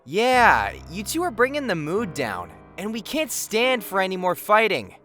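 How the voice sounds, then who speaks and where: A woman's voice: mid-range voice, huge pitch swings, a little slow, a bit bright, soft background music. A woman playing a concerned, level-headed young person in an audio drama. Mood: disapproving.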